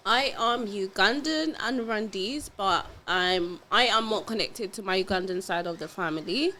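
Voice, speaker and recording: A woman's voice: medium pitch, normal speed, pushed and bright, slight background noise. A woman, chatting casually as a podcast co-host. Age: 20s. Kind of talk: casual chat.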